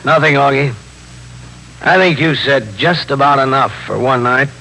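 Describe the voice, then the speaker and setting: An American actor playing a stern, plain-spoken New York police captain in a 1950s radio drama. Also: male voice, mid-range voice, moderate pace, vocal fry, natural voice. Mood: dry, unimpressed. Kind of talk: 1950s radio drama acting and first-person narration. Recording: noisy 1950s radio broadcast recording.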